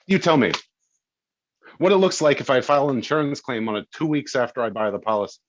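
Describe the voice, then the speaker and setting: A man's voice: mid-range voice, a little fast, lo-fi sound, slight background noise, lightly acted. An actor playing an evasive, defensive wealthy man at a screenplay table read, hesitant and stammering.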